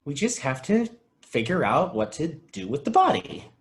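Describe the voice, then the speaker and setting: A man playing an excitable, kindly and easily exasperated guy in a comedy table read, expressive in his delivery. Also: a little high, normal speed. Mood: reassuring. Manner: expressive, animated.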